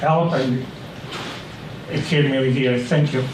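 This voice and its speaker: A man's voice: mid-range voice, fairly even intonation, heavy background noise. Earnest man speaking in an echoey hall. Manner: earnest, halting, non-native grammar. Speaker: resident giving public comment. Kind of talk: public comment at a town meeting. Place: town meeting room. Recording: echoey hall, picked up from a distance.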